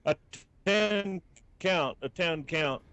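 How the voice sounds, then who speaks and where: Laid-back man co-hosting, slightly high voice. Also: slow, sharp tone, clear, resonant tone, dry recording, highs cut off. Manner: laid-back, repeats phrases, laughs easily. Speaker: co-host. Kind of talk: casual live show banter.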